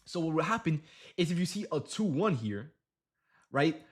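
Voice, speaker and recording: A man's voice: on the high side, very animated, moderate pace, husky. Young man, trading livestream host, casual slangy chat, lots of fillers. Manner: loose slangy talk, many fillers and restarts. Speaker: day trading streamer.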